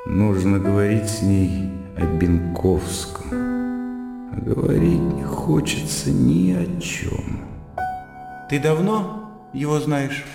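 A dry, sardonic gentleman, reverberant. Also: mid-range voice, takes it slowly, vocal fry. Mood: sardonic, sullen. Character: irritable, weary, sardonic gentleman, brother of a landed widow. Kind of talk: radio drama acting, inner monologue and dialogue. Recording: echoey hall reverb, guitar music behind.

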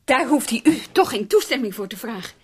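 A woman's voice: fairly high pitch, lively intonation, fairly quick, darkish tone, slightly rough, no room sound. An actress playing a determined young girlfriend in a radio play, pleading and pushy, sometimes stumbling over repeated words. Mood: defiant. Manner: insistent, hesitant repetitions.

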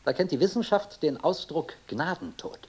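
An actor playing a cold, pedantic, philosophizing officer in a German radio play, speaking in a precise, sardonic, oratorical manner. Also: male voice, a little high, lively intonation, normal speed, husky. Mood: cold, reasoning.

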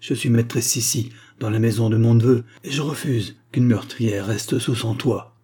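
A haughty, vindictive storybook lady, played by a male reader, speaks in a raised, slightly husky pitch. She is sharp and indignant, always ready to accuse. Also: fairly quick. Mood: cold, haughty. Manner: sharp, indignant, hard-toned. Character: vindictive, haughty older woman, quick to accuse.

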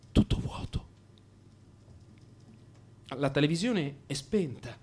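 An actor playing an anxious, brooding married man in crisis, in an intimate, halting radio monologue. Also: mid-range voice, a little mellow, slight background noise, lightly acted.

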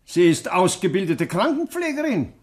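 A sneering male villain in an old German radio thriller. His voice sits high and swings widely as he goads and gloats with cackling, bitter amusement. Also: sing-song, normal speed. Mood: sly, incriminating. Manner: mocking, cackling, goading. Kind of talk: 1960s German radio crime drama acting.

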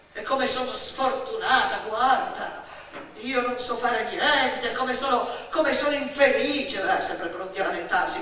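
A woman's voice: on the high side, voice pushed up. A woman playing a brash, mocking older female relative in a theatrical radio monologue, scolding sarcastically with repeated commands. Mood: mock whining. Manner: loud, sarcastic, rapid-fire repeated commands. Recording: echoey stage sound, distant, faint noise.

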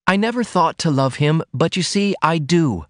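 A man's voice: fairly high pitch, lively intonation, normal speed, dry recording, studio quality, pitched above the performer's own voice, close to an everyday voice. A man reading aloud, voicing a young woman who speaks in pleading, earnest tones.

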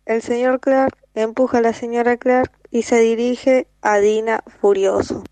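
Flat-toned teenage girl narrating. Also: fairly high pitch, measured pace, no room sound. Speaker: student narrator. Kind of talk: scripted horror story narration.

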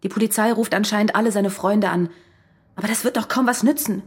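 A woman's voice: mid-range voice, fast, slightly rough, lightly acted. A woman acting in a radio crime drama as a shaken, bitter married woman who speaks directly. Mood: agitated. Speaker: actor in a crime radio play. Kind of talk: German radio drama dialogue.

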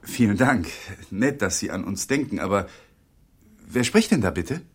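Radio play: anxious husband with guilt, very lively pitch. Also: male voice, moderate pace, slightly rough, exaggerated voice. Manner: brooding inner monologue, murmured to himself. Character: nervous, guilt-ridden middle-aged husband. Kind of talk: 1980s radio drama acting.

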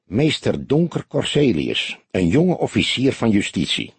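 Husky, confiding little underworld barman a man's reading. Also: mid-range voice, wildly swooping pitch, unhurried, no room sound, full character voice. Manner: hesitant, confiding. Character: small, furtive underworld barkeeper passing on rumours.

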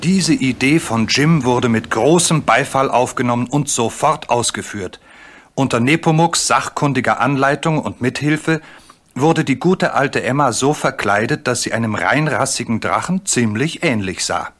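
A man narrating a children's story from a script, with measured, dramatically drawn-out phrasing. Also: mid-range voice, a bit bright. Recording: clearly noisy old recording.

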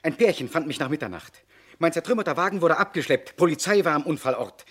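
A man's voice: a little high, animated, fast, a bit bright. An actor playing a troubled, insistent accused man in a crime radio drama. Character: accused male driver Latour, troubled, insistent, indignant.

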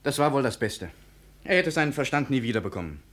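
Brusque, callous fellow, lively pitch. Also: male voice, fast. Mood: offhand consoling. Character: brusque, callous male companion of the daughter. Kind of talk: 1950 German radio drama acting.

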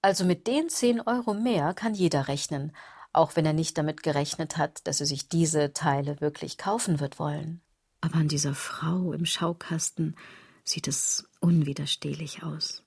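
Sardonic rambling woman, radio drama monologue, kinda low voice, really dry sound, ironic and keeps correcting herself. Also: moderate pace, darkish tone, slightly rough, no room sound. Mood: wry musing. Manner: ironic, self-correcting, insistent rhetorical delivery. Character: sardonic, rambling woman brooding on fashion and clothes.

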